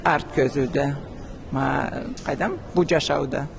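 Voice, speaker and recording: A man giving a slow, halting answer in an interview, pausing to search for words. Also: a little high, gravelly, a crowd in the background. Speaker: viewer interviewed after screening. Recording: steady background noise.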